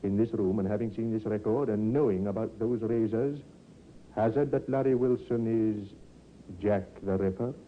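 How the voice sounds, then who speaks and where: A man's voice: lowish voice, steady tone, normal speed, close to an everyday voice. Police inspector played by a man, tinny old radio. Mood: grimly convinced. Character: grave, convinced male Scotland Yard police inspector. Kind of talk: 1950s radio drama acting. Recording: muffled, phone-like 1950s radio recording with noise.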